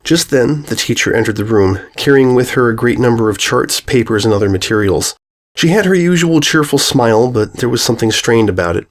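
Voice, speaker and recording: A man's voice: mid-range voice, expressive, brisk. A man narrating a scripted story as its reader.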